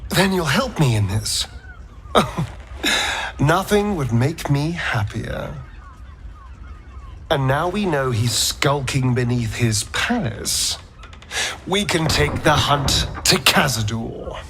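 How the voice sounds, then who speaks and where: A vengeful vampire in a fantasy game, drawling with mocking theatricality. The voice swoops widely and takes its time, over faint background noise. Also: male voice, on the high side, lively intonation, slow, pauses often, bright, forceful tone, full character voice. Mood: scornful, plotting. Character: sardonic, vengeful, theatrical male vampire spawn adventurer. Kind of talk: video game character acting.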